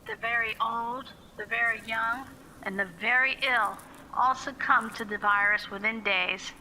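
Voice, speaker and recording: A woman's voice: a little high, steady tone, unhurried, pushed and bright, a little hiss or hum, heavily acted, rough recording. A woman voicing a grim young female survivor narrating a post-apocalyptic film script in voiceover. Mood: grim, matter-of-fact.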